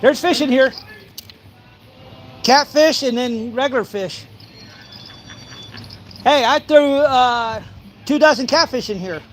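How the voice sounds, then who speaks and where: A man's voice: very high voice, slow pace, long pauses, fairly bright tone. A man in his forties chatting casually as a fishing vlogger, rambling through anecdotes and acting out little exchanges. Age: 40s. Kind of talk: casual chat while fishing. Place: outdoors by a pond. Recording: some outdoor ambient noise.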